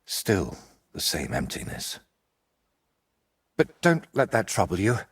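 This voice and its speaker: A world-weary, eccentric wandering painter from a medieval game. His voice is very low and rough, with huge swings in pitch. He talks in a theatrical, teasing way and dodges with riddles. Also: huge pitch swings, takes it slowly, heavily acted. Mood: weary, hollow. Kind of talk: acted dialogue in a medieval video game.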